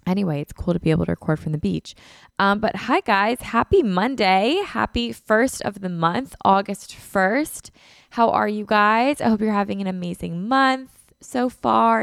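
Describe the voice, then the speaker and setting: A woman's voice: fairly low pitch, gravelly, clear, resonant tone, no echo, clean studio sound. Chatty congested woman podcaster. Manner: chatty, lots of "like" and "um". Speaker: podcast host. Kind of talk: casual solo podcast chat. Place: beach house living room, indoors. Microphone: podcast mic.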